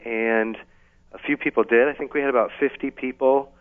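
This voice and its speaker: A man being interviewed casually on the radio as an event organiser, explaining things in a halting way over a phone line. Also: medium pitch, steady tone. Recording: thin, band-limited phone line.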